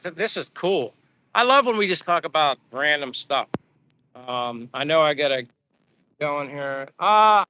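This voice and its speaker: Middle-aged male livestreamer, rambling and friendly, bright ringing tone, hoarse edge. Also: on the high side, no room sound. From Pennsylvania, USA. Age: 50s. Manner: rambling, chatty, answers viewers by name. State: voice going hoarse from talking. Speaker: livestream vlogger. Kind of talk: casual live chat reading comments while walking. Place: outdoors on a walk.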